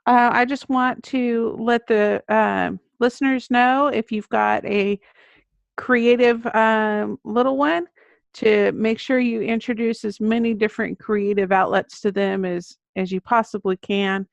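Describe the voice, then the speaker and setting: Creaky-voiced woman, muffled dry recording. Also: fairly high pitch, fairly even intonation, measured pace, dry recording. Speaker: guest, children's book author. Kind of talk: conversational interview answers. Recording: dull lo-fi audio.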